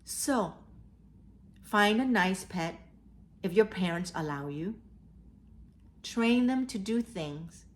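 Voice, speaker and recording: Slow-paced woman storyteller for kids. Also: mid-range voice, lively intonation. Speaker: host of a children's read-aloud storytelling show. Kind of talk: storytelling and advice addressed to children.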